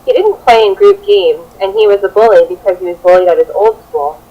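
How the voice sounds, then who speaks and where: A woman's voice: medium pitch, fairly quick, mellow, lo-fi sound. A young adult woman giving a prepared classroom presentation as a student teacher, partly reading. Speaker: student teacher presenting a children's book project.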